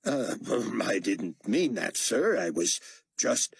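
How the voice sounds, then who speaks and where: A man's voice: on the low side, sing-song, takes it slowly, husky, no room sound, studio quality, voice pushed up, heavily acted. A man playing a nervous, obsequious aide to a tyrant in an audiobook, hesitant and apologetic in manner. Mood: flustered, apologetic. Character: flustered, deferential male aide to a tyrant.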